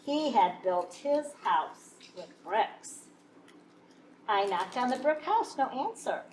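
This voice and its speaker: A woman voicing an aggrieved, folksy male wolf who insists he is innocent, in an animated children's storybook read-aloud with drawn-out emphasis. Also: a little high. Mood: matter-of-fact, sly. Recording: echoey room, distant mic, faint noise.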